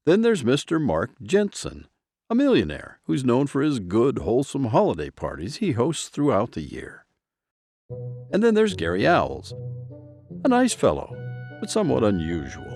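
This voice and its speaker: A man's voice: mid-range voice, darkish tone. A man narrating a scripted audio drama in a theatrical, folksy storybook style.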